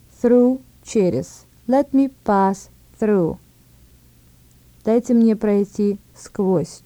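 A woman presents a scripted language lesson at an unhurried pace. Her tone is mellow and relaxed, and she sets out each word and phrase separately, often repeating it. The sound is dry and close, with no room around it. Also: mid-range voice, no echo. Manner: measured, word-by-word delivery with repetitions. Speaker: language instructor.

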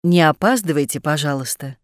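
A woman reading short phrase translations aloud for a language lesson in a clear, measured way. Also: low voice, no echo, clean studio sound. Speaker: phrasebook reader.

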